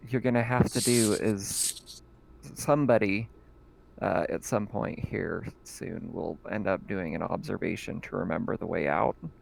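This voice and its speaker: A man's voice: low-pitched, fairly even intonation, creaky, noisy background. A man running a tabletop horror game as game master, narrating casually with frequent laughs and filler sounds. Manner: laughs often, fills pauses with "uh" and "um".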